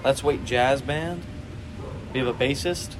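A man's voice: mid-range voice, measured pace, background noise. A young man co-hosting a podcast in casual chat, rambling with many restarts and fillers, recorded on a phone at a busy competition venue. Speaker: podcast co-host. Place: jazz band competition venue. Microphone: phone speaker.

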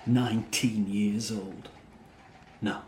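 A man's voice: fairly low pitch, fairly even intonation, darkish tone, slight background noise. A man playing a stern, grieving middle-aged father. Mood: grim. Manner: measured, stern, clipped. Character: grieving father of a murdered daughter, stern and restrained. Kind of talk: dramatic dialogue acting. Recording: roomy echo.

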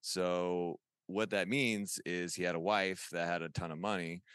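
A man's voice: low-pitched, fairly even intonation, measured pace, a bit bright, smooth, no echo, studio quality. A man in his forties chatting casually as a podcast guest, rambling and enthusiastic, often saying "dude". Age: 40s. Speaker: podcast guest, toy artist. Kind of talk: casual chat.

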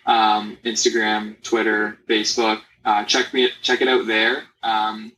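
A young adult man from Canada, a guest in a casual interview, talking in a relaxed way with lots of fillers. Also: fairly low pitch, unhurried, a little hiss or hum, rough recording. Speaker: guest, company founder.

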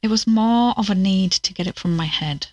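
A woman's voice: medium pitch, moderate pace, a little mellow, no echo, lo-fi sound, natural voice. An actress playing a guarded, uneasy woman troubled by bad dreams in an audio drama, reticent and questioning. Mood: guarded, weary.

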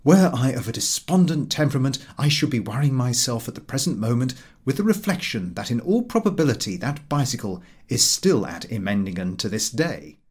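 A man narrating a comic travel story as an audiobook reader, in a wry, measured and expressive way. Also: medium pitch, breathy edge.

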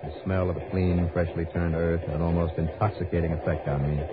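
An actor playing a cool, wry private investigator with a dry, easygoing manner in an old radio drama. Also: male voice, deep voice, little pitch movement, fairly quick, very noisy, natural voice. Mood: wry, narrating. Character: cool, wry male private investigator narrating his case. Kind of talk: 1950s-60s radio drama narration and acting. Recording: dull old radio recording, music behind.

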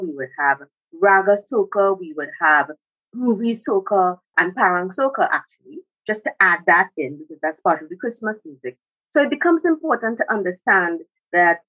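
A woman with a Trinidadian accent explains things in a formal, lecturing way as an academic guest. Her voice is husky and slightly low, and the recording is a little dull and lo-fi. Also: fairly bright tone. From Trinidad and Tobago. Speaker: academic guest, music sociologist. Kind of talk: explanatory interview talk.

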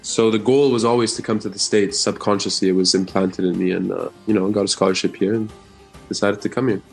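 A young man from the Gaza Strip answering interview questions in a measured, earnest way, with some fillers. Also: on the low side, fairly even intonation, creaky, husky, faint music behind. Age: young adult. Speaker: interview guest.

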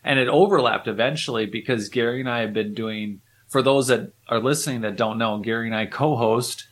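A man's voice: mid-range voice, a little fast. A man talking casually as a podcast co-host, chatty and joking. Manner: animated, jokey, chatty. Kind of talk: casual chat.

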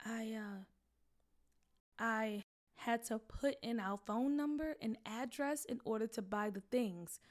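A woman's voice: a little high, slow. A woman voicing a young boy who is sheepish and apologetic, speaking in a hesitant, pleading way. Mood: defensive.

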